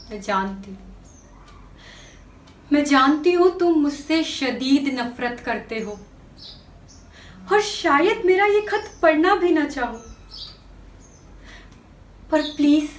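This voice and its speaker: A woman acting an anguished young woman confessing a secret in a dramatic stage monologue, halting and sorrowful with long pauses. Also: sits high. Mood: sorrowful, pleading. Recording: echoey room, distant microphone.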